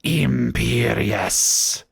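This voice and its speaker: A man voicing a cruel, pompous dark wizard villain in a story, drawing out archaic commands. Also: medium pitch, creaky, husky, no echo, clean studio sound, exaggerated voice. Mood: cruel, commanding. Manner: slow archaic commands, drawn out menacingly.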